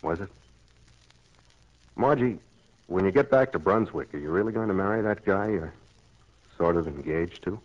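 Smitten bachelor, low voice, wry, self-doubting, tender, 1940s radio drama, dull vintage sound. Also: male voice, normal speed, pauses often. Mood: wry, hesitant. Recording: muffled 1940s radio broadcast, music behind.

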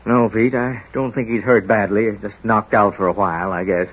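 A man playing a wise, kindly middle-aged small-town doctor in an old-time American radio drama, talking in a folksy, halting, gently firm way. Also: fairly low pitch, steady tone, husky, dull audio. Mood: firm, hushed. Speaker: lead actor in a radio serial.

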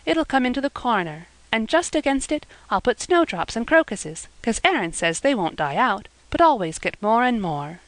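A woman voicing a gentle, eager young girl, light and earnest. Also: fairly high pitch, big pitch swings, moderate pace, hardly any pauses, no room sound, voice pushed up, rough recording.

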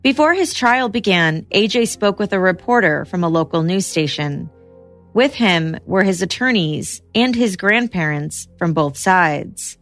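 A woman hosting a podcast, narrating a true-crime case in steady scripted storytelling. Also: lowish voice, measured pace, faint music behind.

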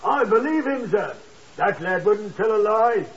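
A man's voice: high-pitched, expressive, measured pace, slight background noise. An actor playing an earnest, deferential working-class hotel night man in a 1950s radio crime drama, hesitant and eager to explain. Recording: muffled 1950s radio broadcast.

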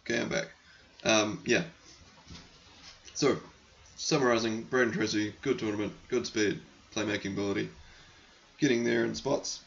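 Hoarse, gravelly man losing his voice. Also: lowish voice, fairly even intonation, normal speed, fairly bright tone, dull audio, slight background noise. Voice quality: gravelly, croaky. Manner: rambling, chatty, frank, sometimes crude. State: losing his voice, clearing throat. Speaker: hockey podcast host. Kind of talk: casual solo podcast, answering listener questions.

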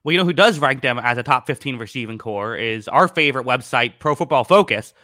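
A man's voice: mid-range voice, lively intonation, fairly quick, pushed and bright, dry recording, studio quality. A man co-hosting a sports podcast, analysing football conversationally with a hesitant, circling delivery.

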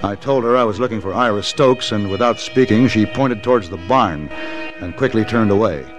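A man plays a principled gun-for-hire in an old western radio play. His voice is on the low side, laconic and unruffled, with prominent music in the vintage recording. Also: normal speed, natural voice. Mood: reflective narrating. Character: cool, principled hired gunman of the Old West. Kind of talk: 1960s western radio drama acting.

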